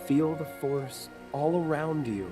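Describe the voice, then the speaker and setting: An actor playing a serene male mystical master who teaches a pupil in a calm, instructive way. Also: fairly high pitch, takes it slowly. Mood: serene, instructing. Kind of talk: screen drama acting. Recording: film soundtrack with loud music behind.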